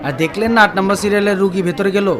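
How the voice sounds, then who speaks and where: A man playing a brisk clinic attendant in a radio drama. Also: high voice, fairly even intonation, lightly acted. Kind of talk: Bengali radio drama acting. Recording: busy waiting-room chatter behind.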